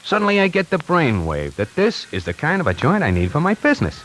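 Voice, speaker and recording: A man's voice: fairly high pitch, huge pitch swings, fairly quick, a bit bright, slight background noise, poor audio. An actor playing a wisecracking necktie salesman who narrates his own story in a 1940s radio drama, hardboiled and slangy. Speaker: narrator and lead character. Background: music.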